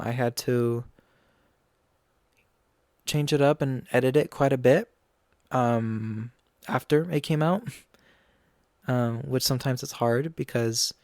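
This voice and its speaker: A man's voice: mid-range voice, a little mellow, clean tone, dry recording, clean studio sound. A young man hosting his own podcast, looking back on past episodes in a chatty way with lots of ums. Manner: chatty, frequent ums and you-knows. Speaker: podcast host. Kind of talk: casual reflective chat.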